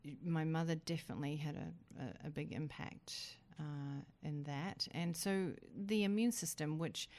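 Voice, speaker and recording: Low-voiced New Zealand woman in conversation. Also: measured pace, few pauses, a little mellow. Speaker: interview guest, immunologist. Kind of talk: conversational interview.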